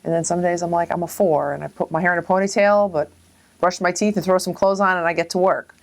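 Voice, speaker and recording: A woman's voice: lowish voice, fairly even intonation, fast. A woman reflecting on personal experiences in an interview, informally, acting out bits of remembered speech. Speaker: local resident interviewee.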